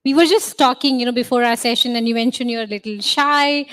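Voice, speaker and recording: A woman moderating an author conversation on a festival stage, asking gentle, encouraging questions with frequent fillers. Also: fairly high pitch, fairly even intonation, fairly quick.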